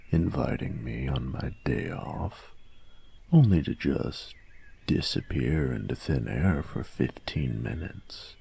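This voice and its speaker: Man playing a gravelly, very deep-voiced cocky bully. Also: dark tone, full character voice. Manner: swearing, teasing, smug slangy drawling delivery. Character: cocky teasing young male bully, secretly smitten. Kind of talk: intimate romantic audio roleplay.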